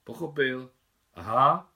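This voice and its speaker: An eager, earnest little boy from an audiobook story, as a man puts him on, voiced noticeably higher than the reader's own voice with a bright, forceful edge. He talks shyly but openly, a small village kid asking for help. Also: lively intonation. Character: shy blond young village boy.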